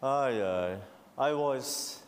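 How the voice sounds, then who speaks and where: A man's voice: medium pitch, expressive, drawn-out words, big-room sound. A man from Brazil with a Brazilian Portuguese accent, a native Portuguese speaker, preaching a sermon and rising to fervent calls to the congregation.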